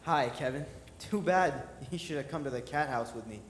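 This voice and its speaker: A man playing a callous, taunting henchman in a radio drama, gruffly giving orders. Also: fairly high pitch, normal speed, natural voice. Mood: sardonic. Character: callous, mocking male henchman with a gun. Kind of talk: staged radio drama performance. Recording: echoey hall, faint noise.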